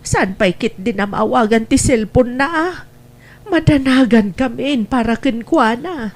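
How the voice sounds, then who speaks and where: A woman's voice: on the high side, lively intonation, measured pace. A woman acts an older provincial mother in a family radio drama. She speaks in an anxious, lamenting way, like a parent fretting over a child.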